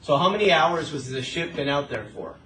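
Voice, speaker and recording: Bright-voiced man teaching a math class. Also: fairly high pitch, lively intonation, fairly quick, slight background noise, low-quality recording. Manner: asks frequent checking questions. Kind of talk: classroom lesson, explaining step by step.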